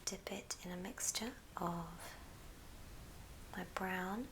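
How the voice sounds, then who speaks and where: A woman whispering breathily in a gentle ASMR craft tutorial, explaining step by step. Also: on the low side, slow pace, background noise.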